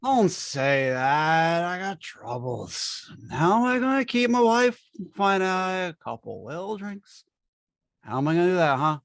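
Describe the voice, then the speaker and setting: Someone doing a whiny drunk barfly guy, pitch all over, old radio play. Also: big pitch swings, unhurried. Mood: pleading, tipsy. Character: whiny, pestering tipsy male barfly. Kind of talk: old-time radio drama acting.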